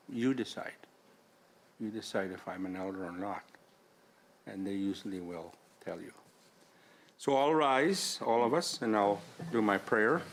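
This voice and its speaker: An older man whose first language is Cree, speaking as an elder opening a public panel in a gentle, unhurried way with quiet humor. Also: fairly low pitch, gravelly. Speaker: elder giving opening prayer. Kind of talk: slow, soft-spoken ceremonial address.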